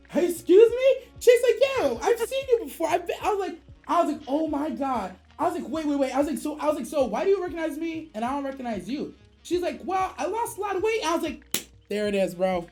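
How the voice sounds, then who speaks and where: A woman's voice: sits high, very animated, brisk, a little mellow, faint music behind. A woman streamer telling a casual story in profane slang, acting out both sides of the dialogue.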